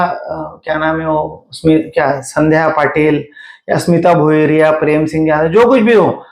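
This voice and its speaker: Man, high-pitched voice, Mumbai Hindi accent, emphatic explainer, interview commentary, echoey room. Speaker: interviewee commenting on local civic affairs.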